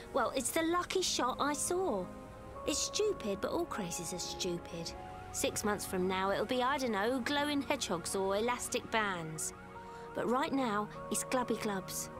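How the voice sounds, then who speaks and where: Wistful middle-aged mum, resentful, plain-spoken, higher voice, audio drama, music underneath. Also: female voice, big pitch swings, unhurried. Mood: wistful, hopeful. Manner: plain-spoken, emotional monologue.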